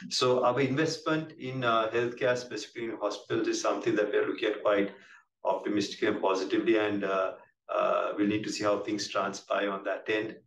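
A man with a Sri Lankan accent answering questions as a company executive on an earnings call, halting and measured, often repeating words. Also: fairly low pitch, flat delivery. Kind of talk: business presentation, answering questions. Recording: roomy, distant mic with clear background noise.

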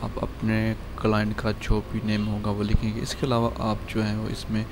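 A man, hosting a screen-recorded software tutorial and calmly walking through a design file with lots of filler words. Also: fairly low pitch, background noise. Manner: calm, unhurried explaining with many fillers. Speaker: channel host showing a design file.

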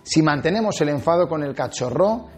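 A man in his forties from Spain hosting a dog-training video, talking to camera with animated gestures and emphatic swings in pitch. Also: a little fast. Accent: Spanish from Spain. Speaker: dog trainer and channel host. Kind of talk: energetic tips video to camera. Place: small indoor studio set with shelves. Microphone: lapel.

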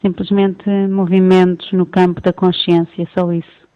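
Even-toned Portuguese woman, slightly dull sound. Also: on the low side. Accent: European Portuguese. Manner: calm, measured. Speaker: interpreter translating a guided meditation. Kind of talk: consecutive interpreting of a meditation, short measured phrases.

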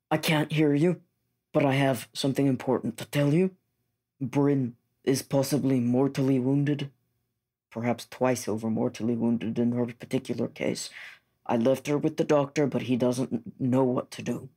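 A man's voice: medium pitch, steady tone, normal speed, dark tone. A man playing a tabletop game, improvising speech in character.

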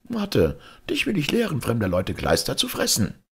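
A man's voice: medium pitch, huge pitch swings, normal speed, creaky, husky, pitched above the performer's own voice, exaggerated voice. A man voicing a spiteful, vengeful old peasant woman in a storybook tale, scolding. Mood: furious.

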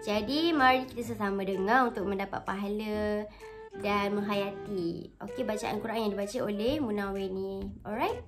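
A woman's voice: medium pitch, a little fast. A young Malaysian woman with a Malaysian accent, hosting a reaction video in a casual, rambling way that mixes Malay and English and corrects itself as she goes. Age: young adult. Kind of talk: chatty Malay-English mix. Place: small indoor bedroom. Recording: music playing underneath.

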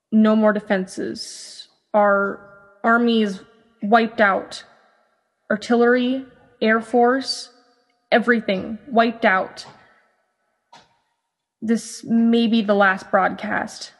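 A woman playing a grave, resolute news broadcaster in a radio drama, reporting formally. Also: medium pitch. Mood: despairing, resolute. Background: music and bells.

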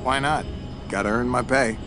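A man's voice: mid-range voice, moderate pace, lightly acted. A man playing a confident, laid-back mercenary pilot in video game cutscene dialogue, casual and self-assured. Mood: cocky ease. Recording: music behind the dialogue.

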